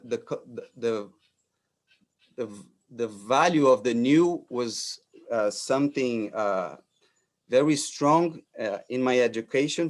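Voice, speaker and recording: Slow brazilian guy giving an architecture talk, english not his first language, keeps restarting, mid pitch. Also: a little mellow. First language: Portuguese. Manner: hesitant, many fillers and restarts. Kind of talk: lecture presentation.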